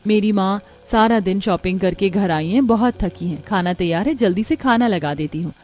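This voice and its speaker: A woman voicing a kind, dutiful young stepdaughter in a cartoon, gentle and caring. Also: mid-range voice, moderate pace, close to an everyday voice.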